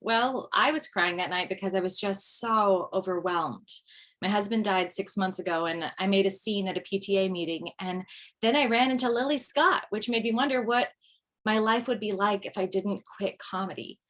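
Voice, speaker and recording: A woman's voice: medium pitch, normal speed, fairly bright tone, natural voice. Woman playing a dry, overwhelmed widowed mom. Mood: candid, wry. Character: overwhelmed widowed mother, dry-witted and bossy. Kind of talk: table read of a comedy pilot script. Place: remote video call read. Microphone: video call.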